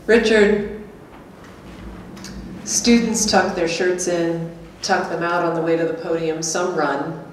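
A woman's voice: lowish voice, fairly even intonation, takes it slowly, long pauses. A woman performing a wry, teasing, blunt character in a staged literary reading. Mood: measured reading. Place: small gallery room before an audience. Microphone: stand microphone, heard from a distant camera. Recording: echoey room, distant mic, faint noise.

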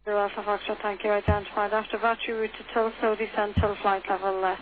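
A woman working as an air traffic controller, issuing clearances over aviation radio. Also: medium pitch, little pitch movement, quick pace. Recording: tinny radio band with clear static.

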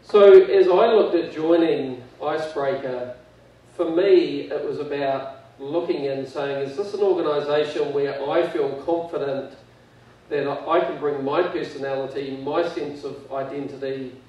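A man speaking on stage as company chairman, measured and earnest with dry humour. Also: mid-range voice, dark tone. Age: 50s. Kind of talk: impromptu speech. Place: large event hall on stage. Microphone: handheld mic. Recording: echoey hall, distant mic, dull sound.

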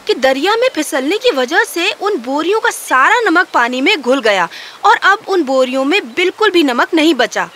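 A woman's voice: high-pitched, fairly bright tone, a little hiss or hum. A woman reading a scripted children's story as the narrator.

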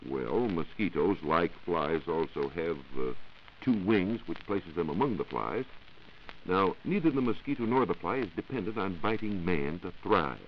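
A man, a 1940s radio science reporter, giving scripted explanations in formal wording. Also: sits low. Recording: dull, noisy 1940s radio recording.

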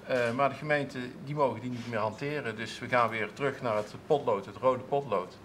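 A man's voice: mid-range voice, brisk, fairly bright tone, a little hiss or hum. A man in his thirties, a council clerk, answering interview questions in a TV studio with many restarts and fillers. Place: TV studio with seated audience, at a table.

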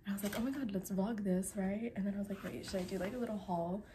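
A woman's voice: medium pitch, fast, dark tone, slight background noise. Congested young woman vlogging. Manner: chatty, lots of "like", drops to whispers. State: congested after illness. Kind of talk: casual vlog chat. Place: bedroom at night. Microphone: phone camera held close.

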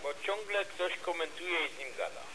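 Higher-voiced man through heavy radio noise. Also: normal speed. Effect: radio transmission. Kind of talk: casual radio chat. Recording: very noisy amateur radio transmission.

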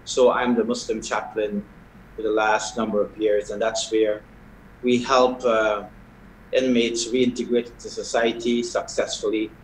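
Man, middle-aged, from guyana, lower voice, roomy echoey call audio. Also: level tone, slight background noise. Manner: measured, soft-spoken with hesitations. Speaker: prison chaplain. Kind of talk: interview answers. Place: video call from an indoor hall. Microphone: video call.

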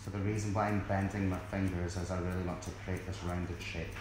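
A man's voice: deep voice, level tone, fairly quick. A middle-aged Scottish man giving an informal hairdressing demonstration in a friendly, explanatory way. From Scotland, born in South Africa. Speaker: hairdressing educator. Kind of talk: informal live tutorial demonstration. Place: indoors in a hair salon. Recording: echoey room with clear background noise.

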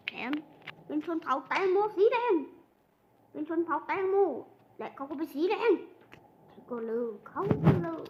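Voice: high voice, animated, a little slow, pauses often, lo-fi sound. A child acting out playful, improvised cartoon story dialogue between several characters, switching from one to another. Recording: noisy home recording.

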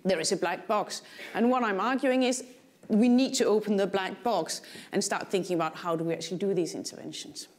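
A woman's voice: medium pitch, fairly quick, a little mellow. A middle-aged woman with a Danish accent, a native Danish speaker, gives a keynote lecture in a large conference hall on a lapel mic, with dry humor and questions for the audience. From Denmark. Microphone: lapel mic, walking the stage.

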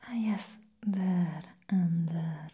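A young woman playing a bored, condescending clinic secretary in a whispered ASMR roleplay, close to the microphone, offering slow, deliberate reassurance. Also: fairly low pitch, dark tone, breathy edge, full character voice. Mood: hushed, dismissive. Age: young adult.

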